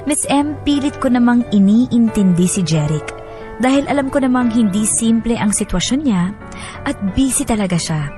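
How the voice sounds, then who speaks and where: A woman's voice: a little high, animated, moderate pace, lightly acted. A woman acting as a weary young new mother, narrating her story as a dramatized radio letter in a confiding way. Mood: sad, confiding. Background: music.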